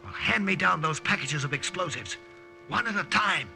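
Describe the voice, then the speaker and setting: Earnest secret agent over dramatic music. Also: male voice, high-pitched, lively intonation, moderate pace, creaky, sharp tone, full character voice. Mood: urgent, brusque. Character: tense, brusque male secret agent saboteur. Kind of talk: 1950s radio drama acting and narration. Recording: old radio recording, music behind.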